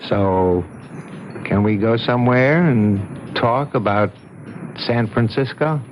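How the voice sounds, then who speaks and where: An American man acting in a 1970s radio drama as a polite, methodical, quietly insistent police lieutenant. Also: mid-range voice, takes it slowly, loud music behind the voice, lightly acted, poor audio. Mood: measured, grave. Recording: slightly hissy 1970s radio broadcast.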